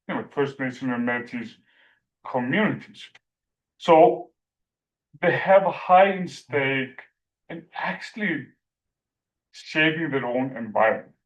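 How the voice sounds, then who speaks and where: A man from Iceland with an Icelandic accent giving an academic presentation, speaking haltingly with many fillers like "like" and "you know". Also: on the high side, rough recording.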